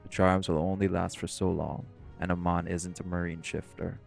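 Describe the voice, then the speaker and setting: A man's voice: sits low, flat delivery, normal speed, vocal fry, a little mellow, soft background music. A man playing a stern, protective shifter who leads a dive in an audio roleplay, speaking seriously and cautiously. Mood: serious, cautioning. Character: stern, protective male marine shifter, bossy diving leader. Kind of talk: scripted audio roleplay acting.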